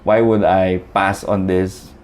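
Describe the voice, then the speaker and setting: A man's voice: sits low, flat delivery, slow, darkish tone. A man from the Philippines, a guest telling his story in a chatty way, full of fillers and restarts. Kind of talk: casual storytelling interview.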